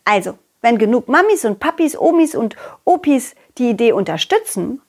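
Woman as an animated, high-voiced excitable dog. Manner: animated, giggly, childlike enthusiasm. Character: confident, excitable, loyal young dog hero telling stories. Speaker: puppeteer and storyteller. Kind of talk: children's audio play narration, addressing listeners.

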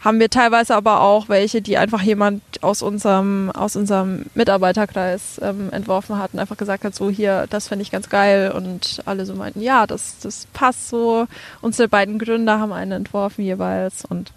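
A woman's voice: medium pitch, level tone, moderate pace. A young woman with a Franconian accent, a podcast guest chatting casually with many fillers and frequent laughs. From Franconia, Germany. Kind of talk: casual interview chat.

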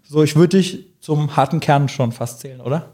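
A man hosting a podcast in a chatty, friendly way, slightly hoarse from a cold. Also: on the high side, moderate pace, a little mellow. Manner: chatty, friendly, fillers like "gell" and "ne". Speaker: podcast host. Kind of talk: casual podcast chat.

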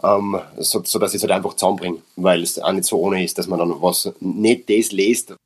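A man's voice: deep voice, fairly even intonation, normal speed, husky. A man from Upper Austria with an Austrian accent, an actor talking casually and thoughtfully about a reading he gave, often correcting himself. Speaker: actor and guest reader. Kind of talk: casual podcast conversation.

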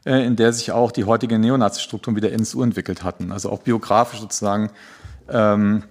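A man's voice: on the low side, fairly even intonation, fast talker. A man hosting a podcast interview, introducing guests with many hesitant fillers. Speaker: podcast host.

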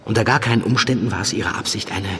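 A man's voice: fairly low pitch, fast talker, fairly bright tone, natural voice. Man playing a flustered police handler, reverberant room. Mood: defensive, earnest. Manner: hesitant, earnest, flustered. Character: harried male police handler, loyal, defensive, placating. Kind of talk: naturalistic fiction podcast acting in German. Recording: reverberant room with faint background noise.

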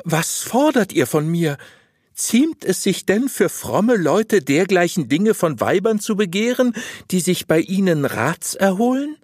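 A pious, naive young wife from an old tale, acted by a male storyteller. The voice is pitched up high and swings expressively. It pleads earnestly in formal, old-fashioned phrases. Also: very animated, a little slow, darkish tone, no room sound, studio quality, exaggerated voice. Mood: shocked. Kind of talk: audiobook storytelling.

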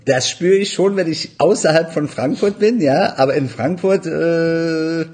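Husky man in his forties, relaxed. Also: a little high, a little slow. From Iran. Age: 40s. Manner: relaxed, many fillers, laughs easily. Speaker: café owner, guest. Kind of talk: casual interview talk.

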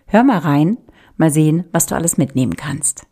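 A woman's voice: low voice, animated, normal speed, dark tone. A woman from Berlin speaking German as the host of an interview podcast, introducing and questioning her guests.

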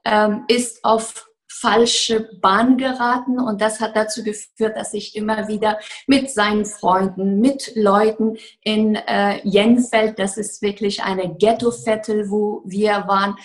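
Woman, 40s, lawyer, earnest and halting, lots of uh's. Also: medium pitch, level tone. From Afghanistan. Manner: hesitant, many fillers, earnest. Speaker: criminal defense lawyer. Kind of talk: interview answers.

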